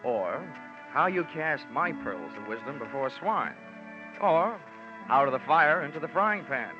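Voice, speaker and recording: A man's voice: on the high side, sing-song, measured pace. A wisecracking American freelance investigator in old radio detective style. His delivery swings animatedly with theatrical, sardonic wit. The recording is dull, with music behind it. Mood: wry, deadpan. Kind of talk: 1940s radio acting, first-person detective narration.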